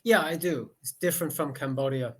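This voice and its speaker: A man's voice: fairly high pitch, brisk, fairly bright tone. A man from the United States, talking as an online English tutor on a video call, patient and often repeating "okay" as he rephrases his questions. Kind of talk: casual conversation lesson over video call.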